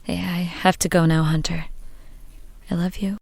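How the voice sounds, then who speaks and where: A woman's voice: fairly low pitch, little pitch movement, normal speed, vocal fry, noisy background. An actress playing an affectionate young woman talking with her lover.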